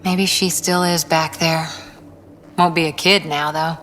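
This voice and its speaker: A woman's voice: deep voice, flat delivery, measured pace, fairly bright tone, a little hiss or hum. A woman playing a confident, earnest commando soldier in a video game, composed and reflective. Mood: fond reminiscence. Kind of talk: video game dialogue acting.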